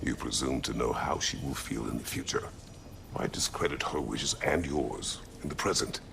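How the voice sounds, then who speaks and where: Very deep, gravelly, stern warrior god. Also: male voice, noisy background, heavily acted. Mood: stern reproach. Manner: terse, formal, deliberate. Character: stern, weary middle-aged male warrior god, blunt and stoic. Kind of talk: dramatic video game acting. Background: game music.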